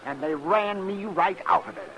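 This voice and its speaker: High-pitched crusty frontier doctor played by a man. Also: moderate pace, natural voice. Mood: agitated complaining. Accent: American. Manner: fussy, sarcastic, complaining. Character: crusty, excitable, indignant male frontier town doctor. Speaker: actor in a western radio drama. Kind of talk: old-time radio western acting. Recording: noisy old radio recording.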